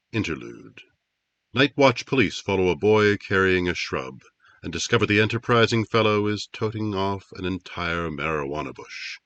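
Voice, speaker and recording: A man acting as a vintage network radio announcer from the 1950s. His voice is fairly low with lively swings, and the delivery is brisk and dramatic. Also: no echo.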